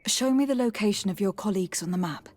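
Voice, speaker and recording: An actress voices a young noblewoman envoy in a fantasy video game. She is courteous and composed, speaking in measured formal phrases, with a slightly husky, medium-pitched voice. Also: a little mellow, no room sound, lightly acted. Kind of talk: video game dialogue acting.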